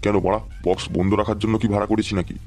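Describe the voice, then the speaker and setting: A brash, combative village man from a comedy cartoon, acted by a man. He speaks in a fairly low voice at a quick clip, full of crude slang. Faint music sits behind him. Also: little pitch movement, darkish tone, natural voice. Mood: irritated.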